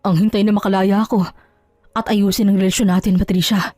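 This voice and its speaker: A mid-pitched, dry voice over soft music plays a cheating husband acted by a woman in an audio drama. He is ashamed and apologetic, pleading haltingly for forgiveness. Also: little pitch movement, no echo, natural voice. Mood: pleading, dismayed. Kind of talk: Tagalog audio drama acting.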